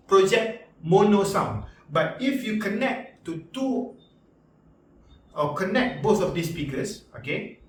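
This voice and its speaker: Male vlog reviewer, Malaysian accent, somewhat high pitch, informal and repetitive, very roomy sound. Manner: informal, repetitive, halting, punctuated with slangy interjections. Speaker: product reviewer and vlogger. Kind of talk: casual unscripted product review. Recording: echoey room, distant mic, background music.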